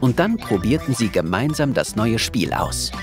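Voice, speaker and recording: A male storyteller voiced by an actor narrates a children's audio play. His delivery is animated, with wide intonation, and music plays clearly behind him. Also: medium pitch, normal speed, close to an everyday voice. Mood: storytelling.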